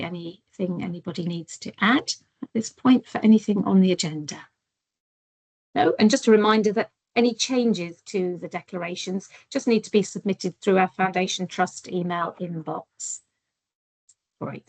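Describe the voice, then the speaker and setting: A woman chairing a formal meeting, courteous and brisk, thanking people often as she moves through the agenda. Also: fairly low pitch, dry recording, poor audio.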